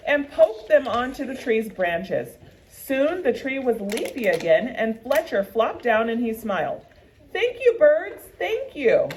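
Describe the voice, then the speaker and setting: A woman with a high, very animated voice, speaking as a teacher and storyteller. She is expressive and addresses her listeners directly, in the style of reading to children. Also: slight background noise, poor audio. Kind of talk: expressive children's read-aloud.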